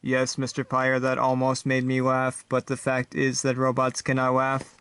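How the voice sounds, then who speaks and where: A man voicing a literal-minded, resigned robot sidekick in a stiff, deadpan way for a comedy talk show. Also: medium pitch, clear, resonant tone, recorded from a distance, natural voice. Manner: deadpan, stiff, slow, avoids contractions.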